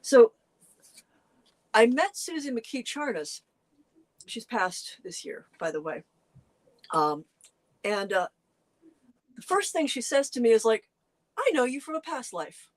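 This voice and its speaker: A middle-aged co-host chatting casually, telling stories earnestly with lively jumps in pitch and frequent restarts. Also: slow, pauses often. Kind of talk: casual conversational chat.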